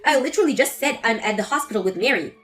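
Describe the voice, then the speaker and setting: A woman's voice: on the high side, expressive, rapid-fire, fairly bright tone, soft background music, voice pushed down. A woman voicing an angry adult daughter confronting her mother in a scripted text-story drama. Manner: accusing, exasperated.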